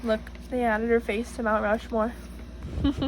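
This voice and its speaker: A woman reading a picture book aloud to children in an encouraging, teacherly way, pausing to address them directly with questions. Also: fairly high pitch, little pitch movement, a little hiss or hum, rough recording. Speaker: storybook reader for children, student teacher.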